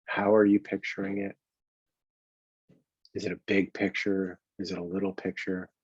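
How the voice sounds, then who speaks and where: A man with a very low, husky voice guides a meditation in a gentle, improvised way. He takes his time, halting and leaving long pauses between phrases. The recording sounds dull and lo-fi. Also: little pitch movement. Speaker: meditation coach leading a guided exercise. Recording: dull, boxy, roomy lo-fi sound.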